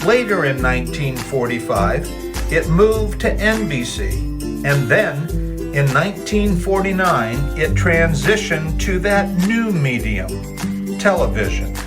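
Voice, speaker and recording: Lively, measured male announcer over loud music. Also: on the high side. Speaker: theater troupe announcer. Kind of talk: scripted show introduction.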